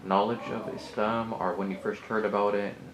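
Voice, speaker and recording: A man's voice: fairly low pitch, level tone, a little fast. A man interviewing a guest, encouraging, with frequent murmured acknowledgements. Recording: echoey room with background noise.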